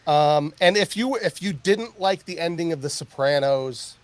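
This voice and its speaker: A man co-hosts a podcast, chatting casually. He rambles and hunts for his words, filling the gaps with ums and uhs, in a voice pitched somewhat high for a man. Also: animated, normal speed, no echo. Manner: rambling, searches for words with many fillers. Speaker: podcast co-host. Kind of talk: casual chat.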